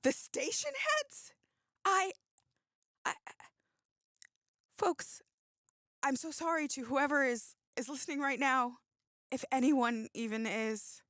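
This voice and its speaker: A woman playing a tense, exasperated radio co-host in an audio drama, sharp, pleading and insistent. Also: high voice, lively intonation, slow pace, pauses often, no echo, studio quality, exaggerated voice.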